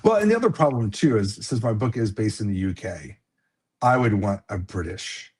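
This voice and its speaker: A low, husky man talks as a guest on a live stream, heard over a remote video call. He rambles easily through his thoughts, piling on fillers and restarting sentences. A slight lisp comes through now and then. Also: animated, normal speed, a little mellow. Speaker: author guest. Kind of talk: casual live-stream interview.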